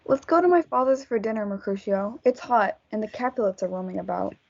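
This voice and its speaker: A woman's voice: mid-range voice, expressive, fairly quick, gravelly, darkish tone. A young woman reading a worried, peaceable young man in amateur reader's theatre. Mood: uneasy. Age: teenager. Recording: dull lo-fi audio.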